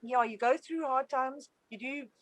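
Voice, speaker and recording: This is a woman whose first language is Afrikaans, speaking in a slightly high voice. She is a mother answering interview questions, earnest and grateful, and she often restarts her sentences. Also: measured pace, a bit bright, no room sound. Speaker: homeschooling traveling mother.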